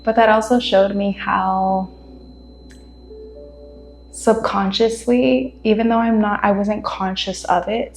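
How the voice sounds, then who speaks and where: Woman podcaster, first language Spanish, unhurried rambling chat, laughs a lot, roomy echo. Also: medium pitch. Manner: relaxed, rambling, laughs often. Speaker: podcast host. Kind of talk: casual monologue. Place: her room.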